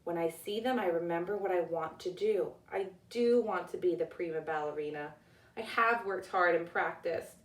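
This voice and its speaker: A woman's voice: fairly low pitch, moderate pace, echoey room, recorded from a distance, natural voice, rough recording. A woman voicing a nervous young girl who dreams of being a ballerina, in a storybook reading. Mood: self-reassuring. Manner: anxious self-questioning.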